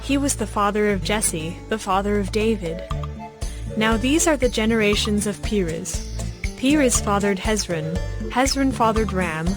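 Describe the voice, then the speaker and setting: A woman's voice: mid-range voice, animated, a little slow, music in the background. A woman giving a scripted narration of scripture as the narrator of a dramatized audio production.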